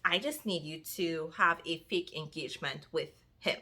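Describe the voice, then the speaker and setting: A young woman with a Trinidadian accent, chatting casually to her viewers as a book vlogger, lively and talking straight to them. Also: deep voice, moderate pace. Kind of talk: casual chat to camera.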